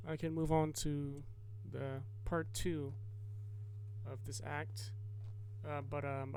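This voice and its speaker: A man with a fairly high, even-toned voice narrates a devlog in a casual, hesitant way. He rambles a little as he explains, with little melodic movement. A faint hum sits behind the recording. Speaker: game developer and video host.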